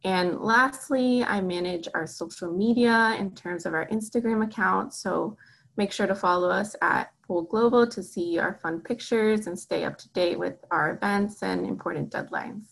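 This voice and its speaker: A young woman from Miami talks as a guest on an interview show, friendly and conversational. Her voice is mid-pitched with a steady creaky fry. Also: normal speed, rough recording. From Miami, Florida. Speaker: program coordinator, guest. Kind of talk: casual interview answers.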